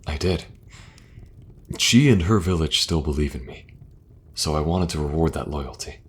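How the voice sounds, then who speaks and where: A man voicing a weary, dutiful king in an audio drama, speaking in an earnest, measured way. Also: extremely low pitch, breathy edge, heavily acted. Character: weary, dutiful male king burdened by duty.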